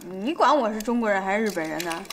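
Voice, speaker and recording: An actress playing a direct, assertive young wife in a TV drama. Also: female voice, medium pitch, animated, fast, lightly acted. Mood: defiant, coaxing. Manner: direct, colloquial. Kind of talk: naturalistic television drama dialogue. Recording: faint background noise.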